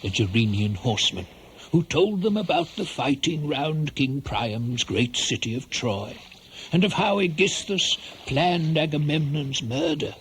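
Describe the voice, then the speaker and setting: A man's voice: on the high side, lively intonation, unhurried, fairly bright tone, a little hiss or hum. A man narrating a scripted radio play as a dramatic, theatrical storyteller.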